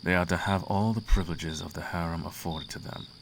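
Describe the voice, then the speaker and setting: A man playing a seductive, imperious demon prince in a flirtatious roleplay ASMR, speaking in a courtly, teasing manner with a creaky low voice. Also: fairly even intonation, brisk, background noise. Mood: commanding.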